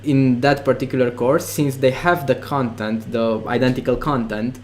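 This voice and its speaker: A man's voice: mid-range voice, steady tone, moderate pace. A man with a Romanian accent, a non-native English speaker, being interviewed about making online courses, talking with many fillers and restarts. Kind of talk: interview conversation.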